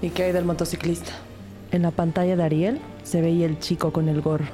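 Audio drama narrator, female actor: serious rookie investigator, scripted storytelling, slightly low voice over music. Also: little pitch movement, fairly quick, warm tone, lightly acted. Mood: serious, intent. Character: earnest female rookie detective narrating her case.

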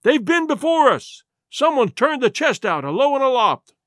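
A man voicing a rough pirate henchman calling out in seafaring talk, in a storybook reading. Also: sits high, animated, normal speed, fairly bright tone, dry recording, studio quality, heavily acted. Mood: urgent, calling out.

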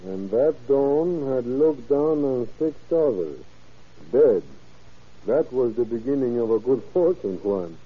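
An actor playing a sly, menacing bandit general in a 1930s radio crime drama. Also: male voice, medium pitch, animated, measured pace, husky. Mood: gloating. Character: gloating old-time Mexican bandit general, sly and menacing. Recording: muffled, tinny old radio recording.

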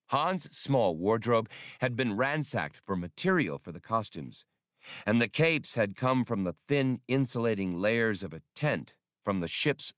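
A man's voice: lowish voice, fairly bright tone, no room sound, studio quality. A man reading a novel aloud as an audiobook narrator, in a scripted storytelling style.

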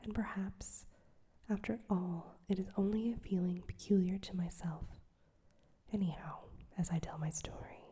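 A woman reading a story aloud in a slow, hushed, even whisper. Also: medium pitch, breathy edge. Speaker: narrator.

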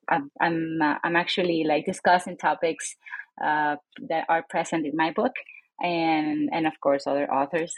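A woman's voice: lowish voice, no room sound, rough recording. A woman from Puerto Rico, an author and professor being interviewed, speaking thoughtfully with many fillers. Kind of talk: interview, casual conversation.

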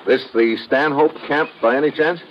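A man's voice: mid-range voice, normal speed, natural voice. A man playing a tough, wary American adventurer in an old-time radio drama, narrating and talking in a clipped, slangy, hard-boiled manner. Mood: casual, guarded. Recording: muffled, very noisy vintage radio recording.

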